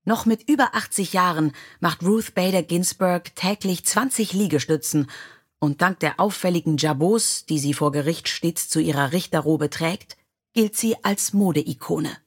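Female storybook narrator, fairly low and husky voice. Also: moderate pace. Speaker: audiobook narrator.

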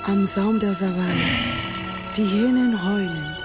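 An actress playing a mysterious, seductive temptress in a dreamlike radio play scene, luring and hushed at times. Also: female voice, mid-range voice, slow, close to an everyday voice. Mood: seductive, eerie. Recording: loud music behind.

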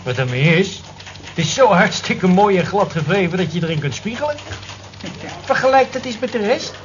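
Cheeky, high-voiced male office colleague. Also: lively intonation, moderate pace. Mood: teasing. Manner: bantering, prodding, wry. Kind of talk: Dutch radio drama acting. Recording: dull lo-fi old radio recording, clear noise.

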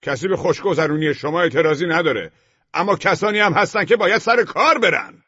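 A man's voice: a little high, sharp tone, husky, voice pushed up. A man voicing a tall, gaunt, indignant neighbor who scolds with formal menace in an audiobook. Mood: shouting outrage. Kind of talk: audiobook character voice. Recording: dull, muffled, echoing room recording.

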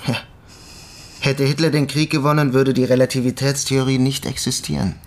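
An actor playing an inquisitive lieutenant in a radio drama, polite and gently probing. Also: male voice, medium pitch, flat delivery, normal speed, natural voice. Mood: curious, probing.

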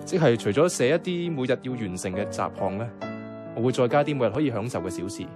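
A man with a Hong Kong Cantonese accent playing a caring, easygoing young flatmate in a radio drama, talking casually and earnestly. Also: medium pitch, fast talker, close to an everyday voice. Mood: earnest, upbeat. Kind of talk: conversational radio drama dialogue. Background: background music.

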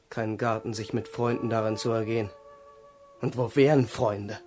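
An actor playing a bitter young crown prince, brooding and mocking in a radio play monologue. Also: male voice, on the low side, natural voice.

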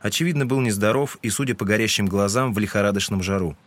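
A man's voice: fairly low pitch, fast talker. A man reading scripted literary narration as the narrator of a dramatized audiobook.

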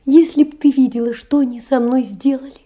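A sickly, poor young woman in a stage drama. Her voice is very dark and soft-edged, and she speaks in an earnest, plaintive way, blaming herself and aching with sorrow. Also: sits high, fairly even intonation, a little fast. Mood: grieving. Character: sickly, anguished, self-reproaching poor young woman writing letters. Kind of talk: theatrical monologue, letters read as drama.

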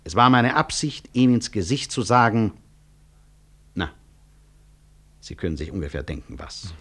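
An actor playing a weary, proud physician-professor in a 1960s German radio drama, husky, reflective and articulate, with wry self-mockery. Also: male voice, fairly low pitch, lively intonation, fairly bright tone. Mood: resolved, then deflated. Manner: reflective, articulate, deliberate stage delivery.

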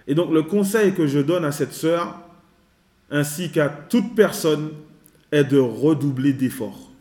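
A man teaching a religious lesson in a measured way, repeating his advice and mixing in Arabic phrases. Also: fairly high pitch, warm tone. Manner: mixes in Arabic phrases and recitation. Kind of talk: religious lesson with questions and answers. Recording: echoey room.

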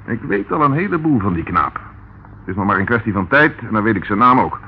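An actor playing a calm, dryly ironic male police inspector in a radio drama. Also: on the low side, a little fast, gravelly. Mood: assured. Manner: dry, composed, ironic. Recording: tinny, noisy old radio recording.

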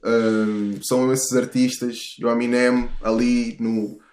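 A man's voice: mid-range voice, level tone, slow pace, darkish tone, roomy sound, slight background noise. A thirty-year-old man with a European Portuguese accent, a musician talking casually and at length in an interview, with many restarts and fillers. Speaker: musician guest.

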